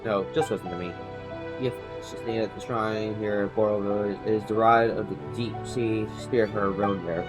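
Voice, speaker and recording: A man reading out the lines of a polite, devout elderly villager in a video game, haltingly and with stumbles. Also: fairly low pitch, fairly even intonation, lightly acted. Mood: solemn, devout. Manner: halting read-aloud, stumbling over words. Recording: game music behind the voice.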